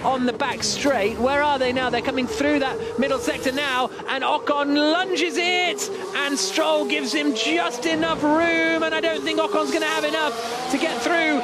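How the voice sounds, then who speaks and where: A man giving live, excitable, dramatic motor racing commentary from a commentary box. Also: very high voice, moderate pace, few pauses. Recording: loud engine noise behind. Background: race cars and engine noise.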